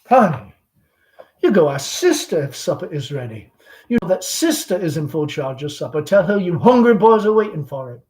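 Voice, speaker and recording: Genteel, fretful middle-aged Southern mother for a stage play, played by a man. High, gushing, rambling, theatrical. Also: sing-song, moderate pace, a little mellow. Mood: sweetly hostly.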